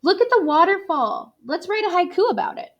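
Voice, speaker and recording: A woman voicing an eager, curious schoolchild on a nature hike in a children's storybook, animated and full of wonder. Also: very high voice, a little fast, lo-fi sound, voice pushed up, full character voice. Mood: excited.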